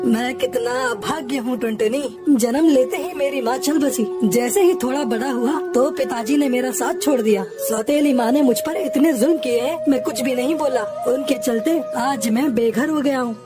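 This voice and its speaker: A young male cartoon crow voiced by a woman, high in pitch. He is a downtrodden husband who speaks earnestly and plaintively. Music sits heavily behind the voice. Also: moderate pace, few pauses, lightly acted. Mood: sorrowful. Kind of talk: Hindi moral-story cartoon acting.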